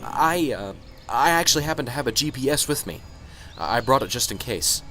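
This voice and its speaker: A man's voice: mid-range voice, expressive, fairly quick, pushed and bright, faint music behind. A man playing a nervous, unsure professor in an amateur radio drama, polite and hesitant.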